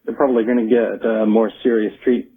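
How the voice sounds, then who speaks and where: A husky man talks casually as a caller on a radio show. His voice comes through a thin, lo-fi phone connection. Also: lowish voice, steady tone, a little fast.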